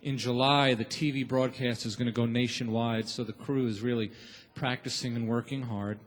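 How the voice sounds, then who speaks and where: A man's voice: mid-range voice, steady tone, moderate pace. Man emceeing in a big echoey hall. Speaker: radio show host and emcee. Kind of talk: warm-up announcements to a live theater audience. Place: on stage in a large theater. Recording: echoey theater hall with long reverb.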